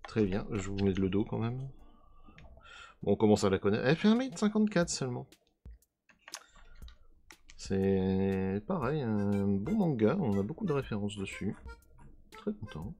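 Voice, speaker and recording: A hoarse, gravelly man talks through an unboxing in a loose, chatty way. His pitch jumps around a lot as he reacts, and he trails off while he searches for names. Soft guitar music plays underneath. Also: big pitch swings, measured pace, long pauses. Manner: musing, trails off hunting for names. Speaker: card unboxing video host. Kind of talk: casual unboxing commentary.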